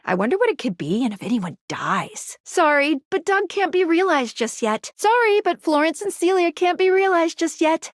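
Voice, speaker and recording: A woman's voice: sits high, dry recording. Playful female game helper, peppy, exclamatory, swooping intonation, close dry studio sound. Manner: perky, theatrical, exclamatory delivery. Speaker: voice actor in a dating sim game. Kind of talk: animated video game character voice lines.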